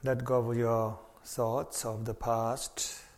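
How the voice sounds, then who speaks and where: A man leading a guided meditation as a teacher, speaking in an unhurried, measured way with long pauses. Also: medium pitch, little pitch movement, darkish tone.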